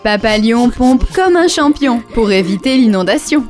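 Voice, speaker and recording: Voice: medium pitch, expressive, moderate pace, close to an everyday voice. A narrator reading scripted rhyming storytelling for a children's cartoon. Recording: music behind the voice. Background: children's music.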